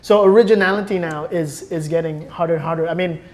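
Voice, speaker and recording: A man's voice: a little high, quick pace. Man talking casually as a podcast guest.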